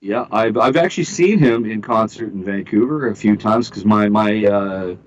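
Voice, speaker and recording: A man's voice: lowish voice, moderate pace, highs cut off, a little hiss or hum. A middle-aged man from Canada in a casual interview, joking and full of fillers and restarts. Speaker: interview guest.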